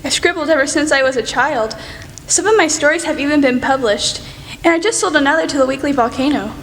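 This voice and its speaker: A teenage woman student playing an earnest, eager young writer in a radio play, expressive and forthright. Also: sits high, a little fast, slight background noise. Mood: eager, bright. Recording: echoey hall, music behind.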